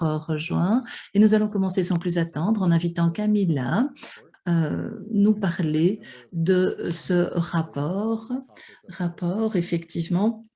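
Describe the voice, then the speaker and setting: A woman's voice: lowish voice, warm tone, breathy edge. A woman interpreting a conference panel live, with many hesitations and restarts. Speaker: simultaneous interpreter for an online panel.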